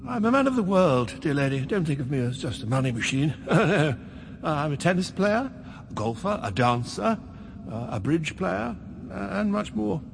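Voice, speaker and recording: An actor in a radio drama playing a pompous, flirtatious English bank manager with a blustering, jovial manner. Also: male voice, on the high side, very animated, normal speed, vocal fry, in a large hall, slight background noise. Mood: boastful, jovial. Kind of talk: BBC radio drama acting.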